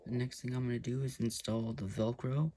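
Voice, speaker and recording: A man casually talking viewers through a hands-on gear install, relaxed and hesitant with frequent fillers. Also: mid-range voice, brisk. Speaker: YouTube motorcycle gear reviewer. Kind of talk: casual step-by-step install walkthrough.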